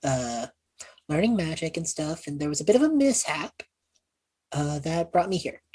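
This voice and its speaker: A woman playing an awkward, sheepish young male mage student lost in time, husky and halting, in a tabletop game. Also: low voice, expressive, dark tone. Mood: awkward explaining. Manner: halting, evasive, rambling.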